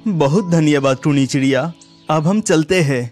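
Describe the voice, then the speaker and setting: A woman playing a young, reassuring water prince in a children's cartoon, calm and polite. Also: low-pitched, moderate pace, faint music behind, lightly acted.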